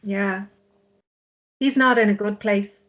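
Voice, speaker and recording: A woman's voice: mid-range voice, steady tone, takes it slowly, highs cut off, lightly acted. A woman playing a blunt, worried, exasperated sister of a grieving drunk in a drama table read. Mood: hesitant, worried. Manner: blunt, pleading.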